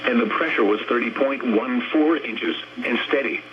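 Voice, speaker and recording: A man's voice: mid-range voice, normal speed, muffled recording. An American man's synthetic voice reads a scripted weather bulletin formally and impersonally through a radio speaker. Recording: very noisy radio speaker playback.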